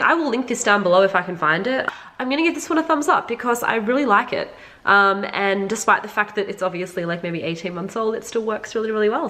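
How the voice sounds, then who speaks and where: A woman's voice: mid-range voice, a bit bright. Congested, slightly nasal woman chatting about makeup. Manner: informal, frequent "like", addressing viewers directly. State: sick with a cold. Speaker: beauty vlogger reviewing makeup. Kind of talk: casual chatty makeup review to viewers.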